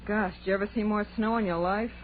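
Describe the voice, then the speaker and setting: A woman's voice: mid-range voice, steady tone, quick pace, close to an everyday voice. An actress playing a brash, slangy, teasing young city woman in a 1940s radio drama. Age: young adult. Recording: noisy, tinny 1940s radio recording.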